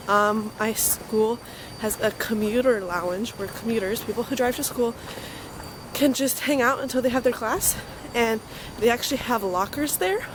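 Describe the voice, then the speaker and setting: A young woman, a university student, chatting casually in a vlog with a slightly hoarse voice, upbeat and rambling, filled with ums. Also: fairly high pitch, background noise. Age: young adult. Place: outdoors on a campus, then an indoor study corner.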